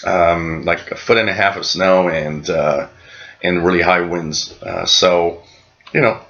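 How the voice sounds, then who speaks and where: A man's voice: deep voice, sharp tone, highs cut off. A middle-aged man from Canada casually explaining things as host of a hobby radio channel, recorded in a small room. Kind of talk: casual explanatory vlog talk.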